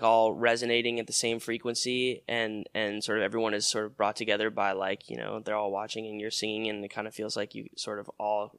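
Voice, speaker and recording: A man's voice: lowish voice, fairly bright tone, smooth, no echo, clean studio sound. A man in his twenties, a musician being interviewed, talking in a rambling casual way with many fillers and restarts. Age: 20s. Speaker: musician guest.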